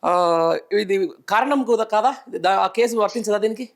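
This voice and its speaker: High-pitched indignant Telangana man, news host. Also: normal speed. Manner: indignant, rhetorical questioning, emphatic. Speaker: news show host, journalist. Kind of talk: live political news commentary and phone interview.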